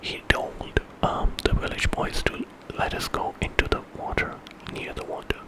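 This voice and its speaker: A man's voice: moderate pace, rough recording. A man telling a story in whispered ASMR style, informally, as if making it up with casual asides.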